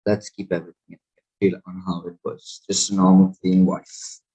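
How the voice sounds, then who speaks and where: A man giving a loose, rambling software tutorial and correcting himself as he goes. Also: low-pitched, little pitch movement, moderate pace, rough recording. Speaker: tech channel host. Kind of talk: casual screen tutorial.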